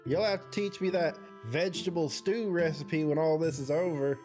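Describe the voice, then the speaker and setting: Dude reading a spacey cheerful guy, slightly high voice, light music under it. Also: moderate pace, a little mellow, lightly acted. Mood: friendly. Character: scatterbrained cheerful young man.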